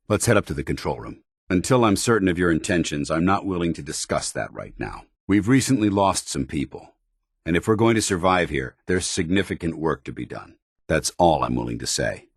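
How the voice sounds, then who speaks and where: Give this game soldier a very low, clean voice recorded close and dry. He is a stern, earnest paladin, formal and commanding, loyal to his order. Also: husky, no echo. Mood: guarded. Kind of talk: video game companion dialogue.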